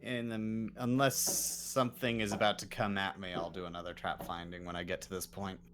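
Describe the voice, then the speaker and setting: Lowish-voiced man playing a tabletop game. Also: flat delivery, brisk, a bit bright. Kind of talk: casual game chat.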